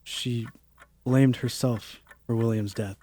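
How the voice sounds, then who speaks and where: An actor playing a grieving, earnest younger brother in a radio play, formal and subdued. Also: male voice, mid-range voice, steady tone, slow pace, warm tone, no room sound. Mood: sad, earnest.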